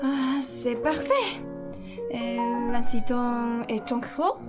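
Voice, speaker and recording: A woman's voice: a little high, expressive, slow, over loud music. A woman playing a theatrical, gushing wealthy society hostess in a student radio play. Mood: delighted. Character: gushing wealthy society lady hosting guests.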